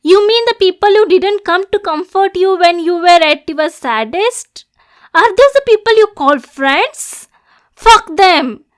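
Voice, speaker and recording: High-voiced, clingy yandere demon girl, acted by a woman. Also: animated, a little slow. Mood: frantic, furious. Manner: breathy, clingy, swinging between tender and domineering. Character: obsessive, lovesick yandere demon girl, possessive and volatile. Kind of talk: ASMR roleplay addressed to the listener.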